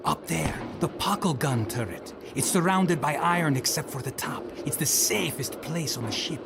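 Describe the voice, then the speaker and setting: An actor playing a blunt, earnest and protective retainer in an audio drama. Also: male voice, on the high side, moderate pace, creaky, over music. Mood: urgent, protective. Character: protective, blunt male retainer guarding a noblewoman. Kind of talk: full-cast audio drama acting.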